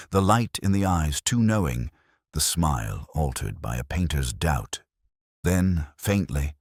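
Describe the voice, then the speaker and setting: Very deep-voiced man narrating detective fiction. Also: dry recording, clean studio sound. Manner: measured, literary, melancholy delivery. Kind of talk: scripted first-person audiobook narration.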